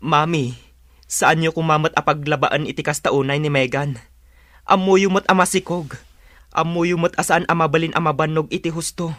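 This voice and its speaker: A man's voice: fairly high pitch, fairly even intonation, moderate pace, fairly bright tone, no room sound, clean studio sound, natural voice. Man acting an exasperated young husband, lightish voice. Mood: protesting. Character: exasperated young husband torn between wife and mother. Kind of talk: serial radio drama acting in Ilocano.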